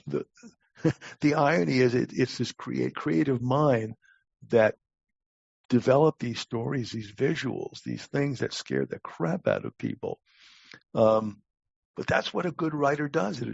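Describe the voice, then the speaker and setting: An older man with an American accent giving reflective interview answers as a guest, halting and repeating words as his thoughts form. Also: mid-range voice, vocal fry, breathy edge, no echo, lo-fi sound. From Long Island, United States. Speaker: podcast guest, talent acquisition advisor.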